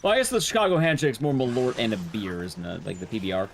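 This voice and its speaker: A young man streaming to his chat, talking casually, rambling and chatty with sudden jumps in pitch. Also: quick pace, gravelly, a little hiss or hum.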